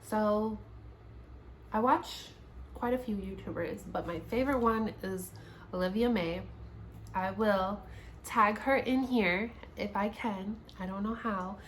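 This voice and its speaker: A woman's voice: medium pitch, takes it slowly, slight background noise. A woman in her late twenties chatting casually in a sit-down get-to-know-me vlog, laughing often and restarting her sentences. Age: 20s. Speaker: YouTuber. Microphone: camera mic, seated at home.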